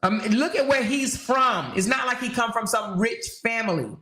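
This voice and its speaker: A woman talks straight to her livestream audience, stressing every point and circling back to repeat it. She keeps checking in with quick rhetorical questions. Her voice rings off the walls of an echoey room. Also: on the high side, animated, sharp tone. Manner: emphatic and repetitive, hammering points with rhetorical questions. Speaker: livestream host. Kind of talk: casual livestream commentary, reading viewer comments.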